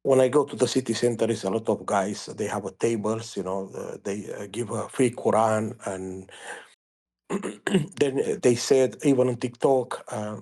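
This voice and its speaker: Halting Romanian-accented man calling in. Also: fairly low pitch, darkish tone. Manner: halting, many ums and restarts. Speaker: caller. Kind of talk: call-in question.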